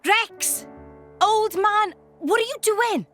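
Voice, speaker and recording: A woman playing a small, brash, excitable female companion in a video game cutscene. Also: extremely high pitch, slow pace, faint music behind, full character voice. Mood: impatient.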